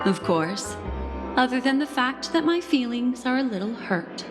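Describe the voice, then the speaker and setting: A woman playing a poised, mysterious memory keeper in a video game, speaking in a measured, coaxing way with a gentle tease. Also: high voice, animated. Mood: teasing, mock hurt. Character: poised, mysterious, teasing female memory keeper, soothing and confident. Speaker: game character voice actor. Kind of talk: scripted video game dialogue. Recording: long reverb, clear background music.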